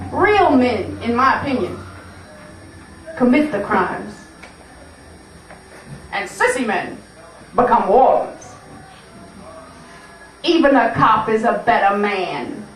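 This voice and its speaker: In an echoey room, a woman acts out a hard-bitten lady crime boss puppet, scornful and unrepentant. She drawls out coarse slang slowly and defiantly, her tone swinging up and down, heard from a distance. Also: on the high side. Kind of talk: live puppet show character acting. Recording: echoey room from far off, faint noise.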